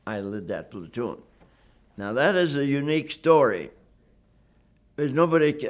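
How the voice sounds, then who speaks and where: An elderly man in his nineties recounts his life in an oral-history interview. He speaks earnestly and with emphasis, circling back to repeat his points and stumbling over words as he goes. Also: mid-range voice. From Wisconsin, USA. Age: 90s. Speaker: WWII veteran interviewee. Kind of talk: reflective oral-history storytelling.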